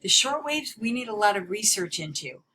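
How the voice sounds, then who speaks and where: A woman giving an explanatory slide lecture as a teacher, stressing key words in a deliberate way. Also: medium pitch, very animated, pushed and bright, slight background noise, low-quality recording.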